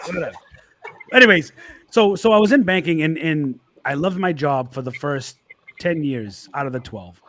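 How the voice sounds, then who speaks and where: A man's voice: on the high side, sing-song, unhurried, long pauses, fairly bright tone, no room sound. A man from Boston with a Boston accent, a guest telling stories in casual chat, stammering and repeating words as he goes.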